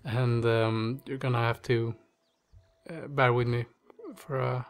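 A man's voice: medium pitch, flat delivery, clear, resonant tone. Sniffly man thinking aloud over gameplay. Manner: halting, thinking aloud with many fillers. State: stuffy nose, strained voice. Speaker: gaming channel host. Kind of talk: casual gameplay commentary.

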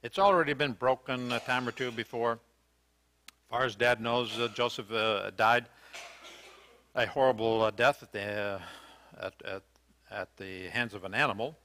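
A man's voice: mid-range voice, a little slow, pauses often, bright, forceful tone. An older American man preaching a sermon in a church, earnest and questioning, with hesitant fillers and restarts. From United States. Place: church sanctuary, indoors.

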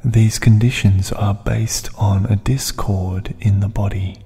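A man softly narrating a hypnotic guided meditation in a soothing, deliberate way, with breathy phrases and long pauses. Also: on the low side, fairly even intonation. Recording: long reverb, music bed underneath. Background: music.

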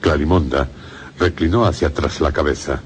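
An actor playing the solemn narrator of a gothic radio tale, with measured, deliberate phrasing and a Castilian Spanish accent. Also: male voice, rumbling low, slight background noise. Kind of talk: scripted radio drama narration. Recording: loud music under the voice.

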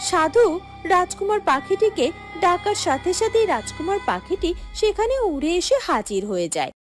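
A woman's voice: extremely high pitch, sing-song, moderate pace, faint music behind. Woman narrating a cartoon fairy tale. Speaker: storyteller.

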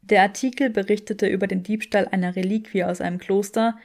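A woman reading an audiobook, telling the story expressively in a measured, wry manner. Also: medium pitch, steady tone, a little mellow. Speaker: audiobook reader. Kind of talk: expressive scripted fiction narration in German.

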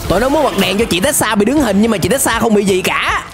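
A young adult man giving animated, exclamatory reaction commentary in slangy speech, close on a desk microphone. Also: high-pitched, fast talker, loud music behind the voice. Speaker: reaction video host. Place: small indoor room, webcam setup.

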